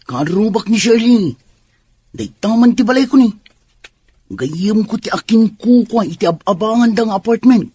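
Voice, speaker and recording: A man playing a chatty, forthright, soft-hearted landlord and neighbour in a radio drama, with a husky voice. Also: high-pitched, lively intonation, moderate pace, no room sound. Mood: inviting.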